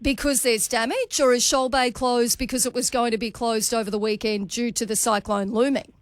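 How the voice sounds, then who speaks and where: A woman's voice: on the high side, steady tone, normal speed, no echo. An Australian woman hosting a live radio interview, with a direct, pressing way of questioning. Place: radio studio.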